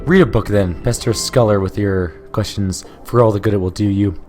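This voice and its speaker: A tormented male sculptor lashes out in a low, hoarse voice that is scornful and worn down by despair, as a man reads him aloud in a game playthrough. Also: fairly even intonation, brisk, music in the background, lightly acted. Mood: bitter. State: hoarse from illness.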